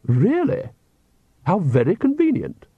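Guy as posh fluttery vampire mum, high lilting voice, prim, doting but needling. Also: wildly swooping pitch, a little slow, slightly rough, no room sound, phone-quality sound, exaggerated voice. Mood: admiring. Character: genteel, nervous vampire countess, doting wife and needling mother.

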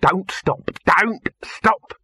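A man voicing an excitable, pushy salesman in a comic fantasy audiobook, hectoring and gushing. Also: fairly high pitch, slow pace, breathy edge, dry recording, pitched above the performer's own voice, full character voice. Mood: urgent, shouting. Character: excitable pushy fast-talking salesman showman.

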